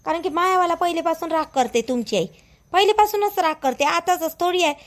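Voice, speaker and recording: A woman's voice: very high-pitched, fairly quick. A woman voicing an anxious, aggrieved young village wife in an amateur cartoon, pleading and whining in a Vidarbha Marathi accent. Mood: aggrieved, protesting. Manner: pleading, whining, repetitive protest. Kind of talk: amateur cartoon dialogue in rural dialect.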